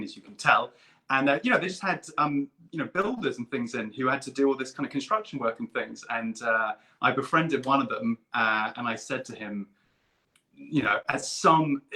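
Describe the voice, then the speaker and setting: A man telling eager, rambling stories on a video call, like an excited fan. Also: mid-range voice. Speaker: guest puppeteer and performer. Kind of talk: casual chat.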